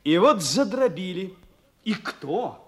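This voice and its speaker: An actor playing a stubborn, disillusioned young naval officer in a Russian radio play, with an impassioned, protesting delivery. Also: male voice, sits high, very animated, takes it slowly, exaggerated voice. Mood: bitter, agitated.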